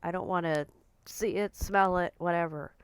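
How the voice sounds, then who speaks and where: A woman in her forties talking casually to camera in a blunt, outspoken way, her voice a little croaky and hoarse. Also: fairly low pitch, fairly even intonation, moderate pace, no echo. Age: 40s. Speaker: vlogger.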